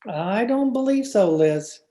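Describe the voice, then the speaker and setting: Woman playing a mellow, sheepish sitcom husband. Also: medium pitch, lively intonation, slow. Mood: meek. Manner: hesitant, apologetic. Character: sheepish, placating suburban husband, a mother's boy. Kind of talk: old-time radio sitcom acting. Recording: roomy, echoing home video-call recording.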